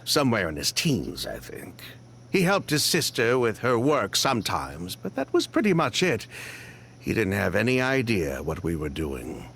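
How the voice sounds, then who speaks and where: An actor playing a weary, bitter former convict in a video game, telling things with resigned drama. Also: male voice, fairly low pitch, wildly swooping pitch, moderate pace, vocal fry, fairly bright tone, slight background noise, full character voice. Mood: explaining.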